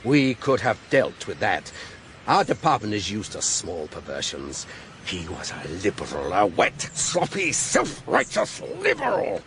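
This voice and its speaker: An actor playing an embittered, pompous older intelligence chief in a radio drama, ranting in oratorical style. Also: male voice, lowish voice, huge pitch swings, unhurried, gravelly, fairly bright tone, a little hiss or hum, exaggerated voice. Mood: contemptuous. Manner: pompous, oratorical, swinging from icy restraint to ranting.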